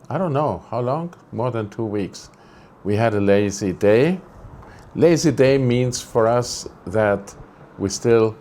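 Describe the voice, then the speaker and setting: A man's voice: on the low side, a little mellow, a little hiss or hum. A man from Greece, hosting a casual vlog update indoors, grumbling and rambling and correcting himself as he goes. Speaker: photography channel host. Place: indoors, air conditioning switched off.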